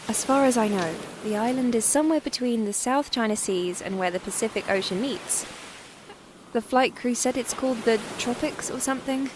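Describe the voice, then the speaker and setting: A woman playing a frightened, weary young plane-crash survivor in an audio drama monologue, confiding in a halting, plain-spoken, wryly understated way. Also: mid-range voice, lightly acted. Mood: uneasy, hopeful. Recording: clearly noisy background.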